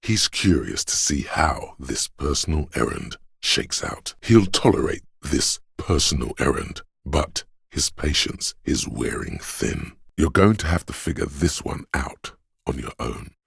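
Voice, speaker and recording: An actor voicing a grave, watchful, wry inner voice of police loyalty in a video game, with a gravelly, husky bass and measured, solemn storytelling. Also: male voice, animated, a bit bright, no echo, studio quality, heavily acted. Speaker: game narrator. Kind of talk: scripted literary narration.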